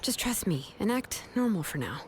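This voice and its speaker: A woman voicing a brisk adult smith in a video game who smooths over awkward moments. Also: medium pitch, big pitch swings, darkish tone, slight background noise, close to an everyday voice. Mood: reassuring. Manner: brisk, reassuring, covers awkwardness.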